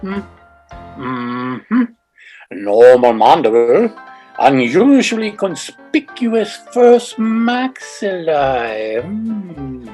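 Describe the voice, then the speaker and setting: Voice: mid-range voice, big pitch swings, very slow, mellow, faint music behind. A performer playing an absorbed, fussy scientist with a muttering clinical manner in an old-time radio comedy.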